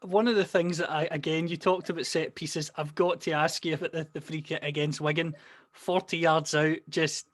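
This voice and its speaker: A man's voice: on the high side, level tone, moderate pace, no echo. A Scottish man interviewing a guest, building up long, carefully framed questions. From Scotland. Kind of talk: podcast interview.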